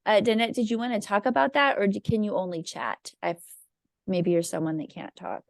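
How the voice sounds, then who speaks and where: A woman's voice: mid-range voice, a little fast, gravelly, dry recording, clean studio sound. A woman moderating a teachers' discussion who hesitantly reads a chat comment aloud. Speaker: session moderator.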